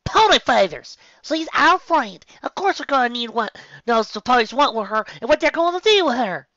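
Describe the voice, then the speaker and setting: A narrator doing a high, fretful country filly. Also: very animated, normal speed, bright, forceful tone, no room sound, dull audio. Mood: frustrated. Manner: folksy country phrasing. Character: earnest, fretful young country filly pony.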